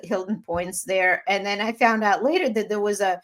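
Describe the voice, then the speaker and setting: A woman co-hosting a podcast in casual chat, telling stories in a chatty, animated way and laughing often. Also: mid-range voice, normal speed. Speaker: podcast co-host.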